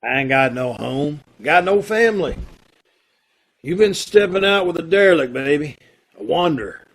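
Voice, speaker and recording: A man's voice: fairly high pitch, lively intonation, normal speed, vocal fry. A man playing a brooding, self-loathing drifter in an old time radio drama, with blunt, slangy tough-guy talk. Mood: bitter, resigned.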